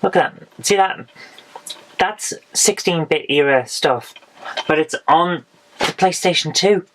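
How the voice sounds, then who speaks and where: A woman, hoarse from losing her voice, chatting frankly through a haul of retro games with dry asides. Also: low-pitched, slow, noisy background. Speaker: retro game vlogger. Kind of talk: casual game haul review.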